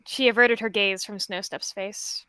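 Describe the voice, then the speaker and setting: A woman narrating her side of a roleplay softly and plainly. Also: mid-range voice, steady tone, a little slow, clear, resonant tone, no echo, rough recording. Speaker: roleplay partner. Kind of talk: narrating a warrior-cat roleplay.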